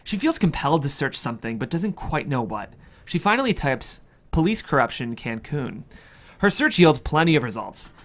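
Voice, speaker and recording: Lively high-pitched man narrating a script. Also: moderate pace. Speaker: narrator reading stage directions. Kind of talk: scripted narration at a table read.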